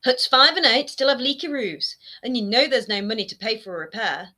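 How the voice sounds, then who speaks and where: A woman voicing a shrill, nagging former queen in a storybook reading, her voice high, whining and scolding. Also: a little fast. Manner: shrill and scolding, rising to near shouting. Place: home room lined with bookshelves. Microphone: webcam framing, seated close to camera.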